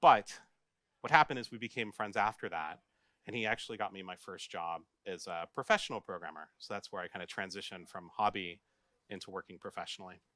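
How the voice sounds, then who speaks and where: An American man, a software developer, giving a casual, joking conference talk and putting questions to his audience. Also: deep voice, a little fast, no room sound. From United States. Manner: chatty, jokey, asks the audience questions. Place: office meeting room, live audience.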